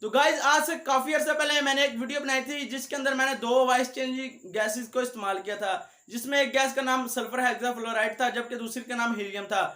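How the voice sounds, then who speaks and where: A young man with a high voice hosting a vlog and talking directly to his viewers. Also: fairly even intonation, fairly quick, hardly any pauses, pushed and bright. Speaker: video creator, host. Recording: echoey room.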